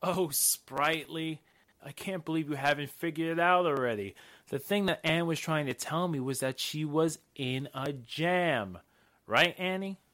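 A man playing a sharp, confident puzzle-solver in a 1940s radio drama style. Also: on the high side, unhurried. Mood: teasing.

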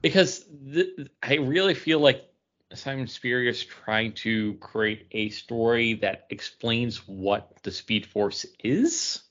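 A man's voice: medium pitch, takes it slowly, fairly bright tone. A man co-hosting a podcast, chatting casually about comic books. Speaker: podcast host. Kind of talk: casual comic book review chat. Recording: dull, lo-fi sound.

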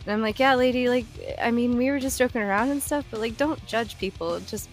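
A woman's voice: fairly high pitch, fairly quick, soft background music. Laughing, swearing woman telling stories. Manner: animated storyteller, swears freely, laughs often. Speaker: podcast host. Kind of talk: casual chat.

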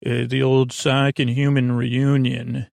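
Male sleep-podcast host, gravelly and dry-miked, drifting through halting, digressive bedtime rambles. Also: medium pitch, flat delivery, a little mellow, no echo. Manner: meandering, halting, digressive, self-interrupting. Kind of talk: rambling bedtime monologue to lull listeners to sleep.

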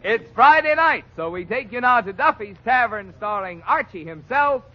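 A man's voice: very high-pitched, animated, normal speed. A man announcing and reading a scripted sponsor message in 1940s radio style. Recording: dull old 1940s radio recording.

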